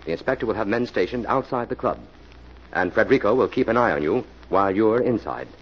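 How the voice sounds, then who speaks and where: An actor playing a brisk, confident crime fighter in a 1940s radio drama, persuasive and used to giving instructions. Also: male voice, fairly low pitch, lively intonation, breathy edge. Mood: confident, instructing. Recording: muffled, slightly noisy old radio recording.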